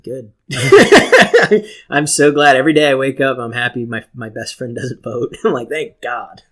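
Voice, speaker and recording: A man's voice: mid-range voice, very animated, a little slow, a bit bright. A man in his forties co-hosting a podcast with casual comedic banter, talking in slangy, profane, joking style. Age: 40s. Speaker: podcast co-host.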